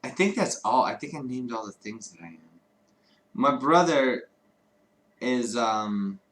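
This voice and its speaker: Man co-hosting a casual livestream, rambling and swearing, mid-pitched voice. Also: lively intonation, a little slow, a bit bright. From Chicago, United States. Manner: rambling, profane, laughs often. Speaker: livestream co-host. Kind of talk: casual chat. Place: home streaming studio.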